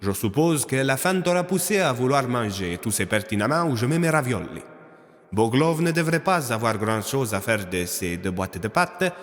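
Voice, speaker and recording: A man playing an affectionate friend voicing his letter in an audio drama, measured and expressive, with long reverb and music. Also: mid-range voice, close to an everyday voice. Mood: affectionate, mysterious. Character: male friend reading his own letter aloud, affectionate.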